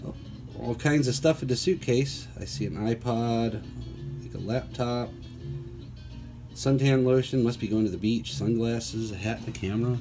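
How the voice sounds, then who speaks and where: Man reading to children, music behind. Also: medium pitch. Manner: clear, deliberate reading with playful questions to young listeners. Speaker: teacher reading a picture book aloud. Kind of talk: children's read-aloud with chatty asides.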